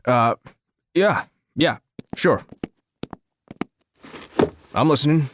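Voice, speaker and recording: A man's voice: mid-range voice, expressive, extremely slow, noisy background. An actor playing an uneasy, indignant millionaire businessman in an audio drama, wary in his delivery. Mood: nervous, wary.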